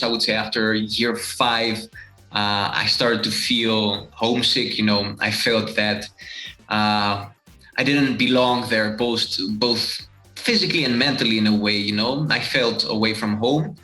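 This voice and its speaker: A man from Greece in his thirties talks about his life in a relaxed, thoughtful way, pausing often with fillers. His voice sits fairly low but sounds bright and forceful. The room around him is echoey. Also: soft background music. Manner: relaxed, reflective, many fillers. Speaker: fitness coach and channel host. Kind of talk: casual interview answers.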